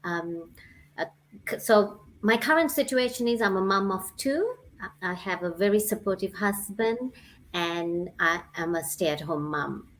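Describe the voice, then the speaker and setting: A woman's voice: mid-range voice, animated, measured pace, poor audio. A woman in her forties, originally from Sri Lanka, telling her personal story hesitantly, with many ums and uhs. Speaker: guest sharing her story. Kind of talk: personal testimonial.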